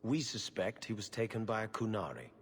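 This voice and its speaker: A haughty, husky court official. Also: male voice, lowish voice, big pitch swings, brisk, big-room sound. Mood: curt. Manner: formal, curt, officious.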